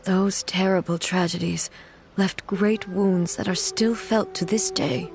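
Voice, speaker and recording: A woman voicing a calm, regal woman ruler in a video game, speaking in a measured, formal and quietly grave way. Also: on the low side, soft background music.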